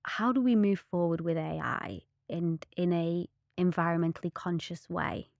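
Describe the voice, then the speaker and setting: A woman's voice: on the low side, normal speed, vocal fry, a little mellow, dry recording, lo-fi sound. A young adult woman hosting a casual podcast interview, chatty and full of "like". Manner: chatty, filler "like". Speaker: podcast host.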